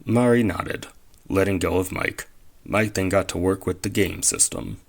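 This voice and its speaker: A man acting as a calm storyteller, reading scripted third-person narration for an audio drama. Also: sits low, moderate pace, vocal fry.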